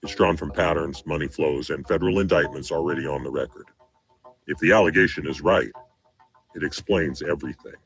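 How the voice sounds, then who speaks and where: A man's voice: a bass voice, a little fast, gravelly, soft background music. A man delivering scripted political commentary in a grave, declamatory way.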